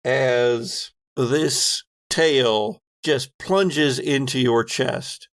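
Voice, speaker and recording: A man running a horror tabletop game as game master, narrating in a deliberate, descriptive way with pauses mid-sentence. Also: mid-range voice, fairly bright tone, no echo, studio quality.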